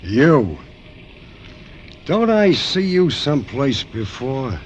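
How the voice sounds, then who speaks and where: Male, menacing big gangster, measured pace, lively pitch, curt threats, old-time radio crime drama. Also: a little hiss or hum. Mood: suspicious. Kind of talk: 1940s radio acting.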